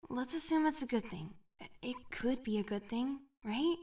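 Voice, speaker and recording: A woman voicing an earnest young woman who speaks softly and hesitantly. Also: a little high, moderate pace, distant mic, lightly acted. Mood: hopeful. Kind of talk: fan animation voice acting.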